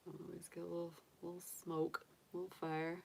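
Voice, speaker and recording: A woman's voice: fairly low pitch, completely flat delivery, fairly quick, vocal fry, a little hiss or hum. A woman from the United States, casually chatting on a livestream as a tarot reader, rambling with many fillers and restarts. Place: home room with candles and sage.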